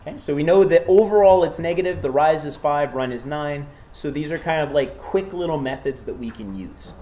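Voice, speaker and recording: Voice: mid-range voice, a little fast, warm tone. A math teacher who has a cold gives a classroom lecture, often checking in with students and encouraging them.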